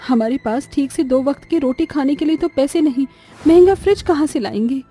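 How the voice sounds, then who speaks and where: A woman voicing an earnest, pleading poor young mother in an animated story. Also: high-pitched, fairly quick, soft background music, natural voice. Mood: upset.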